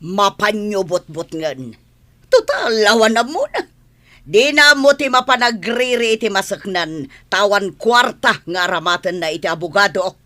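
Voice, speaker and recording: A woman's voice: medium pitch, big pitch swings, measured pace, pushed and bright. A woman with an Ilocano accent acting a scheming, bossy rich madam in an audio drama. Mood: scheming, commanding. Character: scheming, bossy moneyed madam giving orders to henchmen.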